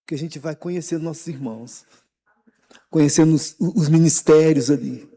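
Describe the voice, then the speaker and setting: High-pitched pastor with church reverb. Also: male voice, normal speed. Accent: Brazilian Portuguese. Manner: animated, repeats words, warm encouragement. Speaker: pastor leading a Bible study. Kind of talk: sermon, informal. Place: church hall.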